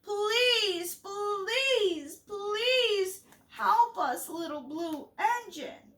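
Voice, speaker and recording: A woman's voice: extremely high pitch, lively intonation, drawn-out words, a bit bright, echoey room, distant mic, pitched above the performer's own voice, full character voice. A woman voicing a chorus of dolls and toys who plead for help.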